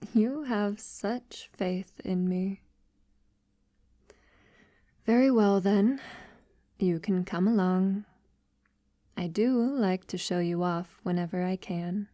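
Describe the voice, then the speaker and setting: Slow, hushed vampire lover, acted by a woman. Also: medium pitch, no echo. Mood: concerned, affectionate. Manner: soft-spoken, breathy half-whisper, hesitant pauses. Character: centuries-old female vampire lover, wealthy, tender, vulnerable. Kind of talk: intimate ASMR audio roleplay.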